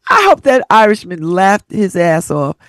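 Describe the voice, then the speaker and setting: A woman hosts a radio talk show, reading listener stories aloud and breaking into laughter and sassy remarks between them. Her pitch swings widely as she reads and comments. The sound is dry and close, with no room around it. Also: unhurried, dry recording. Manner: laughs often, animated and sassy asides.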